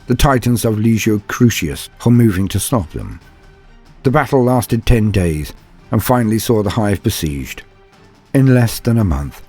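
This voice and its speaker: Husky, low-voiced man narrating war lore. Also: a little mellow. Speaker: narrator of fan lore and fiction videos. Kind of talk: dramatic scripted storytelling narration.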